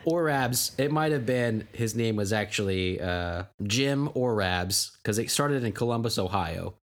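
A man's voice: lowish voice, measured pace. A man co-hosting a podcast in loose, joking chat, laughing often as he talks. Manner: joking, laughs often, lively rises and falls. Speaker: podcast co-host.

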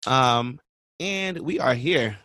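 A man co-hosting a podcast in casual, playful and expressive conversation. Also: mid-range voice, slow, no echo, clean studio sound. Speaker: podcast co-host.

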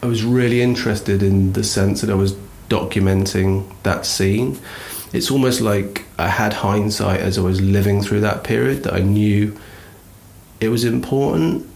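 A man's voice: on the low side, vocal fry, darkish tone. A man in his late thirties from Birmingham, England, slightly nasal with a cold, reflecting hesitantly on his own life. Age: late 30s. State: getting over a cold. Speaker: photographer, podcast guest. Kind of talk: reflective personal monologue.

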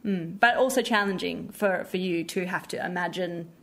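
A woman's voice: on the low side, moderate pace, crowd noise. A woman with an Australian accent chatting casually and reflectively as a theatre director being interviewed.

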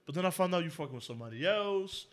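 A man's voice: on the high side, animated, racing, fairly bright tone. A man in his late twenties chatting casually on a group podcast, slangy and insistent, often talking over others. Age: late 20s. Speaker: podcast panelist. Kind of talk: casual heated group chat.

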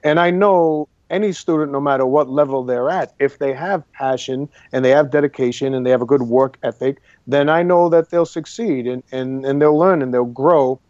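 A man's voice: mid-range voice, moderate pace, few pauses, a little mellow, no room sound, lo-fi sound. A man from Boston, an acting coach, talking earnestly as a guest in a casual interview and repeating words for emphasis. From Boston, Massachusetts.

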